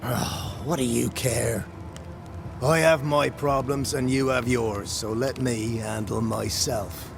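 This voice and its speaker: A worn-out male soldier in a video game, gravelly and slow. His speech is rough, colloquial and stubbornly self-deprecating, with prominent music behind it. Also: mid-range voice, fairly even intonation. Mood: defensive, bitter. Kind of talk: video game cutscene acting.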